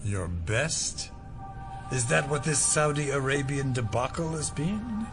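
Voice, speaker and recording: An actor playing a menacing conspiracy boss in an audio drama, deliberate and patronising. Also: male voice, medium pitch, lively intonation, music in the background. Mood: cold, reproachful. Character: menacing male conspiracy boss, coldly disappointed.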